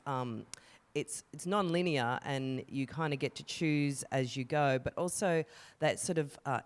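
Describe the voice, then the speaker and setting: A woman, chatting effusively as she presents an arts interview. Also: low voice. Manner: effusive, enthusiastic.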